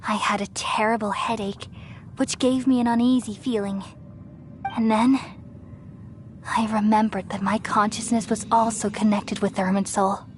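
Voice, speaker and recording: A woman's voice: medium pitch, a little hiss or hum, lightly acted. A woman voicing a serene, ancient wise goddess. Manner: calm, measured and soothing. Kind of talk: video game cutscene dialogue. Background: game score music.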